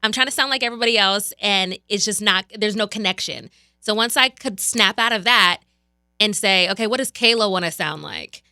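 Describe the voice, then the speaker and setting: A woman's voice: mid-range voice, brisk, sharp tone, clear, resonant tone, no echo, clean studio sound. A young woman from Maryland who works as a radio personality, chatting casually as a podcast guest with lots of fillers and restarts. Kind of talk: casual chat.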